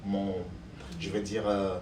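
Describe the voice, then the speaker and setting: A man's voice: deep voice, completely flat delivery, slow pace. A man, answering interview questions as a doctoral student, thoughtful and hesitant with many fillers. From Cameroon. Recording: echoey room, distant mic, noise.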